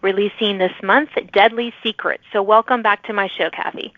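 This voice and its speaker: A woman's voice: mid-range voice, fairly even intonation, normal speed, no room sound. A woman hosting a podcast, interviewing a guest in a friendly, chatty way. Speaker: podcast host interviewing authors. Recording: muffled band-limited phone-like call audio.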